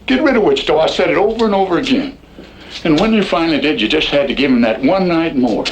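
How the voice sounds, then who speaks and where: An actor playing a folksy, fretful Western old-timer in a radio drama, with a gravelly drawl and an admonishing, pleading manner. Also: male voice, a little high, expressive, quick pace, muffled recording, lightly acted. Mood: exasperated, grim. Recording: faintly noisy old radio recording.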